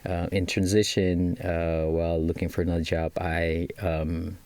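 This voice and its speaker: A man's voice: low voice, flat delivery, measured pace, a little mellow, smooth, dry recording. A Malaysian man in his thirties, a business guest in an interview, speaking with a Malaysian accent and many fillers and restarts. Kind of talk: casual interview talk.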